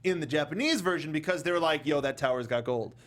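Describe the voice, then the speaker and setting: A man hosting a casual panel chat, rambling through animated asides in stop-start phrases. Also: a little high, quick pace. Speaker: talk show host.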